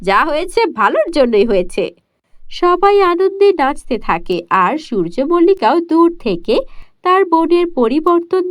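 A woman narrating a storybook cartoon in a steady storytelling manner. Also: extremely high pitch, dry recording, studio quality.

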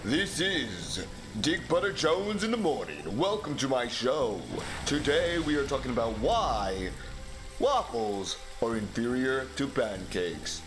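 A man playing a grouchy morning radio host in a groggy, deeper voice. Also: very animated, slow, music in the background. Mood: mock-serious.

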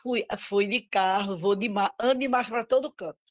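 A woman's voice: mid-range voice, brisk. A middle-aged woman talks over an audio-only video call from home. Her speech rambles and halts, with a worried tone. At times she drifts away from the microphone. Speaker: group participant. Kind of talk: casual chat on a video call.

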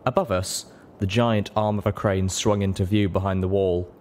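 A man's voice: low-pitched, fairly even intonation, moderate pace, a little mellow, a little hiss or hum. A man reading scripted story narration, in the manner of an audiobook. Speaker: audiobook-style reader, show host.

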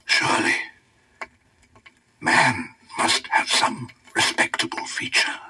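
A man voicing an earnest, wistful king in a storybook reading, with a hushed, breathy voice. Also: slow pace, rough recording. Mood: doubtful. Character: earnest, wistful new king, male, troubled pupil.

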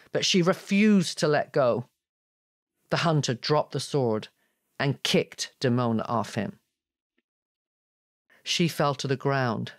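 A man's voice: fairly high pitch, dry recording, clean studio sound. A man reading scripted fantasy narration in a dramatic audiobook style.

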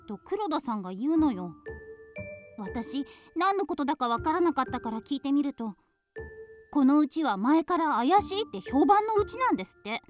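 Schoolgirl daughter, very high girlish voice, chatty, animated, radio drama, music behind. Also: full character voice.

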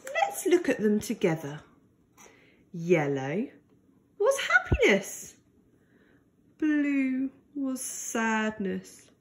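A woman reads a picture book aloud for small children, with a high voice that rises and falls in lively swoops. She takes her time, very slowly and deliberately, keeping the delivery gentle and expressive. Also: slight background noise. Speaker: storyteller for young children.

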